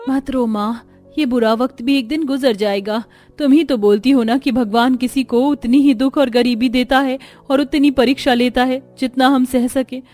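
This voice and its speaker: A woman's voice: sits high, fairly even intonation, normal speed, darkish tone, faint music behind, pitched above the performer's own voice, lightly acted. A woman voicing a poor young village daughter in a cartoon, earnest and plaintive. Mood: comforting. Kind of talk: Hindi cartoon dialogue.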